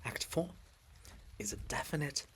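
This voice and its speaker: A man narrating a play with measured, theatrical delivery and intimate asides to the listener. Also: mid-range voice, expressive, a little hiss or hum. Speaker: narrator of a dramatic play reading.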